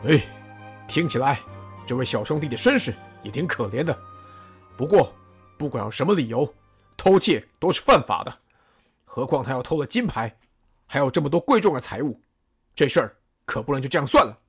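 A woman's voice: extremely low pitch, very animated, brisk, pauses often, husky, faint music behind, exaggerated voice. A woman voicing a hot-tempered male army general who blusters and accuses. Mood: stern.

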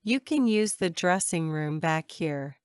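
Clear, low-pitched woman reading drill phrases. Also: animated, no room sound, clean studio sound. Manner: measured, careful enunciation. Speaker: English phrase reader. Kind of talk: slow repeated drill phrases.

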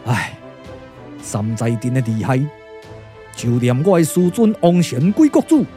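A man with a Taiwanese accent voicing a solemn, calculating sage strategist in a puppet radio drama, speaking slowly, deliberately and persuasively. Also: mid-range voice, very animated, mellow, faint music behind. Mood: grave warning. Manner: slow, deliberate, weighty delivery.

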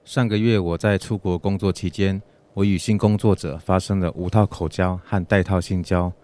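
A man's voice: low voice, fairly even intonation, normal speed, mellow, lightly acted. A man playing an anxious traveller reading out a scripted question for a radio skit. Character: anxious male traveller confessing a health worry.

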